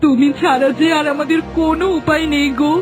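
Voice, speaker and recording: Woman playing a high-voiced wailing cartoon mother. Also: little pitch movement, fairly quick, close to an everyday voice. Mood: anguished pleading. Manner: pleading, wailing. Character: desperate, devoted mother in a fairy tale. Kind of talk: Bengali fairy-tale cartoon voice acting. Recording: music behind the voice.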